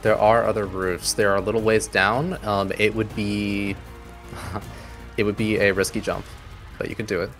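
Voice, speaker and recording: Male game master, low voice, loose improvised tabletop narration over faint music. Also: level tone, normal speed, a bit bright. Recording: music bed behind voice. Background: background music.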